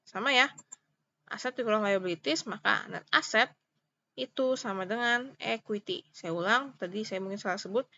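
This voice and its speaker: A woman's voice: medium pitch, moderate pace, bright, forceful tone, muffled recording. A woman with an Indonesian accent giving an informal step-by-step tutorial as a lecturer, repeating points and checking understanding with short questions. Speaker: accounting lecturer.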